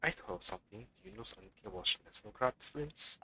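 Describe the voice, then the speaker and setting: A man gives casual live game commentary. His voice is bright and creaky, and his pitch moves around in an animated way. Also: fast, a little hiss or hum. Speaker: game streamer.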